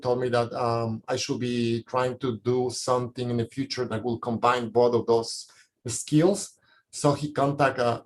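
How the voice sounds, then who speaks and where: Latin American man, noisy call audio. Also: medium pitch. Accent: Latin American Spanish. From Ecuador and Colombia. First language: Spanish. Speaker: panelist, research scientist. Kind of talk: informal career account on a panel. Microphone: video call.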